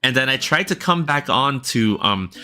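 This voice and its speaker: A man's voice: medium pitch, animated, normal speed, pushed and bright, clean tone. A man chatting casually on a livestream, rambling and checking in with viewers as he talks. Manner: rambling, talks directly to viewers with frequent "right?". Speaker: livestreamer and illustrator. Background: faint background music.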